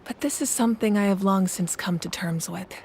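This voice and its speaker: A woman's voice: mid-range voice, fairly quick, darkish tone, a little hiss or hum, natural voice. A woman playing a composed, resolute warrior goddess who rules a nation, speaking formally and solemnly in a video game.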